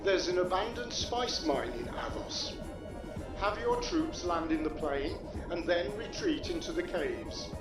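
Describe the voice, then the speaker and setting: A man's voice: high-pitched, expressive, measured pace. Echoey, distant, composed Jedi commander played by a man. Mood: stern, composed. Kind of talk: fan comic dub acting. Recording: echoey, distant, music behind.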